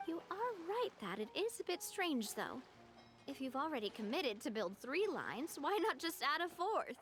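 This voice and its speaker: A woman's voice: sits high, sing-song, normal speed, a bit bright. An actress playing a confident young heiress who leads her late father's organization, talking frankly and easily in a game cutscene. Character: confident young woman, heiress leading a family group. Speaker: leader of a family organization. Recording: game audio with faint music.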